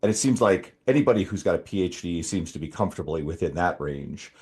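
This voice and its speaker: A podcast host with a low, slightly husky voice. He lays out his questions in a measured, steady way. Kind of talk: interview questions.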